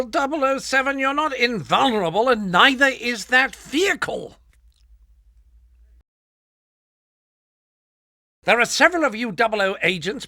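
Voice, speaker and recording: A man's voice: extremely high pitch, pushed and bright, dry recording, studio quality, full character voice. A male actor playing a fussy, exasperated older British quartermaster in a video game, with a pompous, clipped, sardonic way of nagging. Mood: exasperated, scolding. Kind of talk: scripted video game mission briefing.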